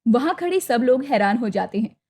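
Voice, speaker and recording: A woman's voice: fairly high pitch, expressive, fairly quick, darkish tone, slightly rough. A woman narrating a scripted moral story for a cartoon as its storyteller.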